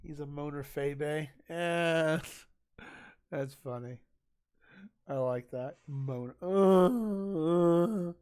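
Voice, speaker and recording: A man streaming a video game, talking in a loud, rambling, chatty way to his viewers. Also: on the high side, drawn-out words, long pauses, clean tone. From Italian family. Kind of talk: casual gaming livestream chat.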